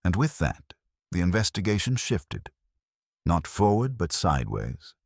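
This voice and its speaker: A man's voice: low voice, wildly swooping pitch, a little slow, creaky, no echo, studio quality. A man reading scripted narration of a detective mystery story.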